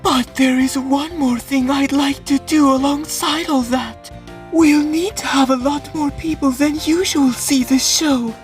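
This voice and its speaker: Slow, courteous young anime director, voiced by a woman. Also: sits high, fairly even intonation, faint music behind. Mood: calm, planning. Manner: measured, polite, deliberate. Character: calm, courteous, scheming young male show director. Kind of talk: anime fan dub acting.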